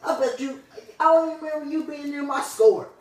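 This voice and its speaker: A woman's voice: high-pitched, a little fast, close to an everyday voice. A woman playing a hot-tempered, sulky, boastful young woman in an amateur puppet show skit. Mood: taunting. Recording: roomy, echoey amateur indoor recording.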